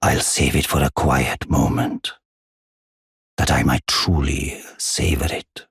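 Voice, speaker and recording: Very deep, husky old giant. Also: male voice, steady tone, dry recording, studio quality, heavily acted. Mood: pleasantly surprised. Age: older. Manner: slow, solemn, archaic courteous phrasing. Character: aged giant blacksmith and war counselor, solemn, courteous, devoted. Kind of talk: formal video game character acting.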